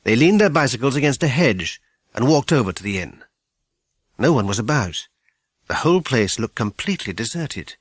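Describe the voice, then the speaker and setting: A man narrating a children's story in an expressive, scripted storytelling manner. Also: medium pitch, normal speed, husky, dry recording, clean studio sound. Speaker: audiobook narrator.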